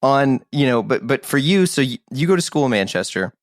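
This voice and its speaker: A man's voice: mid-range voice, animated, a little fast, dry recording, clean studio sound. A man hosting a casual podcast interview, enthusiastic, laughing often and restarting his sentences. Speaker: podcast host.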